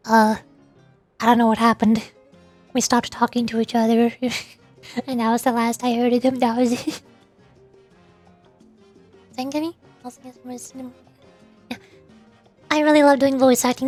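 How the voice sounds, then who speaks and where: A woman with a high, light voice chats to her stream through a desk mic at home. She rambles and pokes fun at herself. Soft game music sits faintly underneath. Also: no room sound. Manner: chatty, rambling, self-deprecating. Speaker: VTuber streamer. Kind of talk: casual stream chat while voice acting over a game. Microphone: USB desktop microphone.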